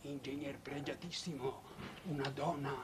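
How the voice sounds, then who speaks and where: An actor playing a fussy, timid elderly village official with a Venetian accent, stammering and deferential in a 1950s Italian television period drama. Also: male voice, medium pitch, steady tone, moderate pace, lightly acted. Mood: fearful, hesitant. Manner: stammering, hesitant, obsequious. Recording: noisy old 1950s TV soundtrack.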